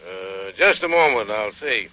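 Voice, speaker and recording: Police sergeant, very sharp forceful voice, businesslike, old radio drama, muffled recording. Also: male voice, on the low side, animated, measured pace. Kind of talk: 1940s radio drama acting. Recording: muffled vintage radio, phone scene.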